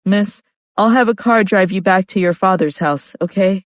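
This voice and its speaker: A woman voicing a polite male bodyguard who is concerned and speaks gently, near her own voice. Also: medium pitch, moderate pace, dry recording, studio quality, natural voice.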